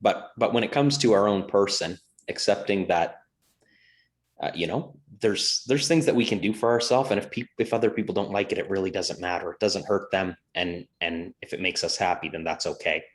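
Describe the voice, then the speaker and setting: A man with a somewhat low voice talks on a casual morning show. He speaks thoughtfully and hesitantly, circling back and restarting as he works through his ideas. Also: brisk. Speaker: co-host.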